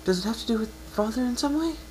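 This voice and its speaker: A timid young mare from a fan-fiction reading, put on by a man. The voice is lifted high and speaks gently and hesitantly. There is faint noise behind it. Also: expressive, fast. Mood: timid, worried. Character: timid, gentle young female pegasus pony.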